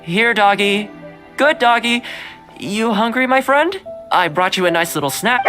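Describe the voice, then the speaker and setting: Friendly young game character, patient n chatty, voice moves up and down lots, music under it. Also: male voice, a little high, big pitch swings, a little fast. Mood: gentle coaxing. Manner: chatty, gentle coaxing. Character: friendly, patient young man, a house retainer. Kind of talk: game dialogue acting.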